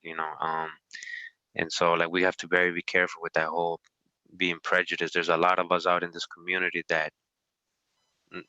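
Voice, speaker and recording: Low, bright, forceful man chatting casually. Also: clear, resonant tone, no echo, low-quality recording. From Costa Rica. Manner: informal, frequent "you know". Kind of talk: casual discussion chat.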